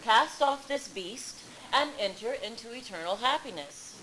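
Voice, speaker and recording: A woman's voice: a little high, lively intonation, unhurried. A woman storyteller reading the part of a solemn male god who speaks in formal pronouncements. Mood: stern. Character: Indra, solemn male god, lord of heaven. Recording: live event with background noise.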